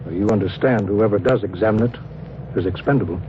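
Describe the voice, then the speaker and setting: Brisk, commanding spaceship captain acted by a man. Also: on the low side, natural voice. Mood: cautious, stern. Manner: clipped, authoritative. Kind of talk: 1950s radio drama acting. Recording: muffled noisy old-time radio recording.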